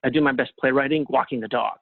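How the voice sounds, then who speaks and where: A man speaking as an interview guest in casual conversation, with a reflective, halting way of phrasing things. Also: mid-range voice, vocal fry, no room sound. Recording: thin phone-like line.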